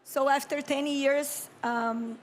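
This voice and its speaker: A Brazilian woman in her thirties with a Brazilian Portuguese accent, giving a calm, measured conference keynote as an architect and urban planner, and now and then clearing her throat. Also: high voice, a little hiss or hum. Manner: calm, measured, reflective delivery with pauses.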